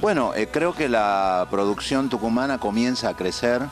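A man in his fifties with an Argentine accent, a TV host interviewed in a studio, talking in a measured, earnest way with many "eh" pauses. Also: mid-range voice, animated, faint music behind. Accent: Argentine Spanish. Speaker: TV host and producer as guest. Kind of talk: casual interview. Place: TV studio set, on a couch. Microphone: handheld mic held by interviewer.

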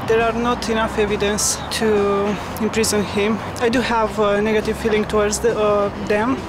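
Very flat-toned young woman outdoors. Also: medium pitch, moderate pace, music in the background, poor audio. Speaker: street interviewee. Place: city square, outdoors. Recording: clear street noise.

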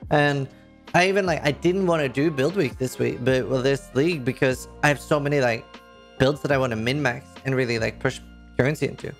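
A man in his thirties speaks English with a Norwegian accent, his voice carrying a gravelly, creaky texture. He rambles eagerly about his favourite game update. He is close to a desk mic, with faint guitar music behind. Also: mid-range voice, fairly quick. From Norway. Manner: enthusiastic, rambling, lots of fillers. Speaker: streamer. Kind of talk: casual game commentary to camera. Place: indoor streaming room.

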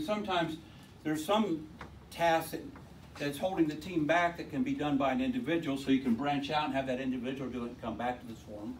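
Loud-voiced man lecturing from a distance. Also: fairly high pitch, a little hiss or hum. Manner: rambling, with many restarts and repeated words. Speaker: conference speaker. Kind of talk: informal technical talk. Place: lecture room at a tech meetup. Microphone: handheld mic, often held away from the mouth.

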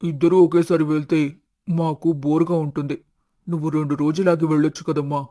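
A man voicing a calm, stoic older husband and father in an animated family story, unruffled and matter-of-fact. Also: a little high, fairly quick, lightly acted. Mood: resigned.

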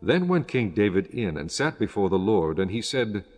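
A man narrating scripture from a script in a formal, measured manner. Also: lowish voice, very animated, darkish tone, faint music behind.

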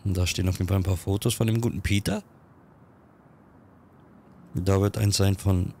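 A man with a cold, chatting quickly through casual gameplay commentary and reading on-screen text aloud. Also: sits low, creaky, darkish tone, slight background noise. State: has a cold. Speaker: let's-play gamer.

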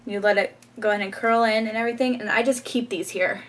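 A woman's voice: on the high side, fairly even intonation, quick pace. A young woman, casually talking through a hair tutorial with frequent ums while styling her hair, recorded on a camera mic in a small bedroom. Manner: chatty, frequent ums, light laughs. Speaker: beauty vlogger.

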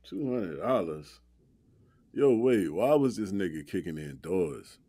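A young man in an audio drama, low-voiced and very animated. He is laid-back yet protective, takes his time, and drags out slangy, disbelieving exclamations. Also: breathy edge, no room sound, full character voice. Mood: incredulous. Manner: slangy, drawn-out exclamations and repeats.

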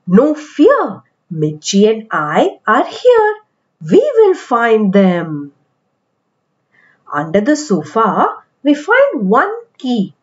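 A woman with an Indian accent reading aloud to young children as a storytelling host, with deliberate, expressive phrasing. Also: medium pitch, muffled recording. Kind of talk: animated picture-book read-aloud for kids.

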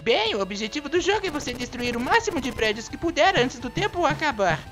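A woman's voice: high-pitched, sing-song, a little fast, music in the background. A woman with a Brazilian accent voicing a naive, eager, put-upon female rabbit-like creature in a cartoon fandub, earnest and whiny.

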